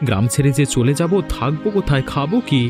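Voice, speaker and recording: A man's voice: a little high, quick pace, over music, lightly acted. An actor playing a weary, troubled village man in a fairy-tale cartoon, speaking in an earnest, lamenting way. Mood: worried.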